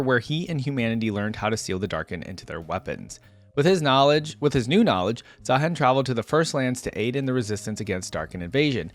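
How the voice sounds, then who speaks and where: A man giving casual reaction commentary, reading text aloud and thinking out loud in a conversational way. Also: medium pitch. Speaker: video creator reacting to game lore.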